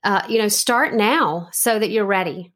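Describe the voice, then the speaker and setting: A woman's voice: medium pitch, unhurried. Woman podcast host teaching home remedies in easygoing, explanatory chat. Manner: instructive, relaxed, with many fillers.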